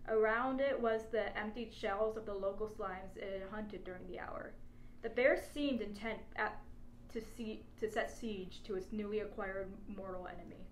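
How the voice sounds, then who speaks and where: Woman reading a funny story aloud, normal pitch, lots of room echo, bit of noise. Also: fairly even intonation, moderate pace. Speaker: story narrator. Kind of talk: scripted narration of a humorous story. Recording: echoey space with faint background noise.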